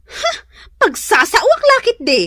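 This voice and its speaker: Very high-pitched bossy young woman. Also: wildly swooping pitch, measured pace, pushed and bright, no room sound, clean studio sound, full character voice. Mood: haughty, demanding. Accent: Ilocano. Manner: haughty, sneering. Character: bossy, spiteful young woman ordering her in-law around. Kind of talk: Ilocano radio drama acting.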